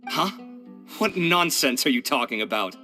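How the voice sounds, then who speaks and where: Haughty theatrical architect, bright and animated. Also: male voice, mid-range voice, normal speed, faint music behind. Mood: incredulous. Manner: pompous, dramatic. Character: haughty, theatrical male architect, quick to take offence. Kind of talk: video game voice acting.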